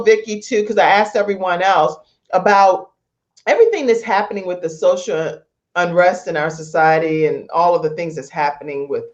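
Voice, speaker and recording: A woman hosting a casual live online talk show in a chatty, expressive, informal way over lo-fi call audio. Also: lowish voice. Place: live video stream from a studio setup.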